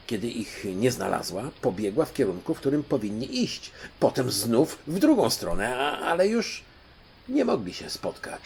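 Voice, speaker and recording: An older man reads a children's story with expressive, dramatic flair. His voice is fairly low and gravelly, moving animatedly up and down in pitch. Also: slight background noise. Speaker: audiobook narrator. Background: music.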